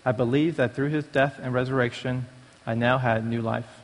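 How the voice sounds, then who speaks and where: A man's voice: medium pitch, steady tone, normal speed. Man speaking in a reverberant hall. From born in Taiwan, raised in the United States from age five. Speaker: speaker giving a testimony at a church recovery meeting. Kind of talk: prepared personal testimony. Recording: long reverberant hall echo.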